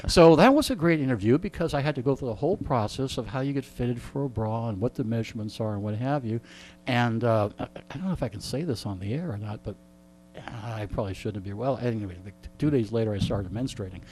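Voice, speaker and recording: A man telling jokey anecdotes in conversation as a radio interviewee, with deadpan punchlines and repeated phrases for effect. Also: mid-range voice, quick pace. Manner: jokey, deadpan storytelling with rhetorical repetition. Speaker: radio host being interviewed. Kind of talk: animated comic anecdotes in conversation.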